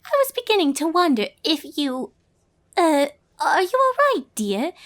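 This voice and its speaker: A woman voicing earnest, easily flustered young female cartoon ponies in a story reading, expressive and dramatic. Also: sits high, a little slow, pitched above the performer's own voice, heavily acted. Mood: concerned, flustered. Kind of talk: character dialogue in a fan story reading.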